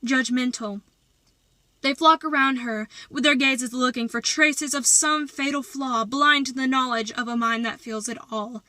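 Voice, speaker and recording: High, bright-voiced woman reciting slam poetry. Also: unhurried, dry recording. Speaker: slam poet.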